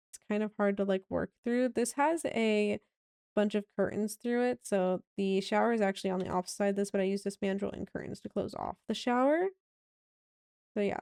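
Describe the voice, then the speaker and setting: Raspy young woman rambling thru her game build, mellow darkish tone, lots of ums. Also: medium pitch, dry recording, clean studio sound. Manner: rambling, many fillers. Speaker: game builder giving a house tour. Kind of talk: casual narrated gameplay tour.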